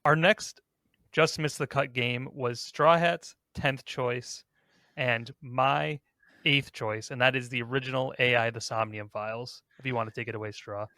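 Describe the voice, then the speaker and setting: Male podcast host, casual discussion, crisp bright edge, very dry sound. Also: mid-range voice, steady tone, unhurried, dry recording.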